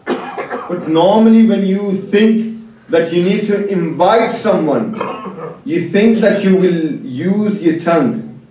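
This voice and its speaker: A man preaching a religious sermon to a congregation in an emphatic, deliberate way, repeating key phrases. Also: high voice, dark tone. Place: large echoing religious hall. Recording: very echoey hall, distant mic.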